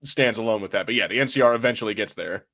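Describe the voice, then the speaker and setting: A man's voice: fairly low pitch, very fast, a bit bright. A man co-hosting a casual gaming podcast, rambling with lots of restarts and fillers and laughing often. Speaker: podcast co-host.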